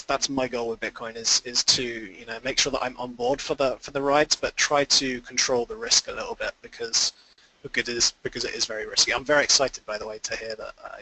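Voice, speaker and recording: Bright-voiced man explaining on a call. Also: mid-range voice, steady tone, fairly quick, low-quality recording. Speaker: investor guest. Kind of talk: conference call interview answers.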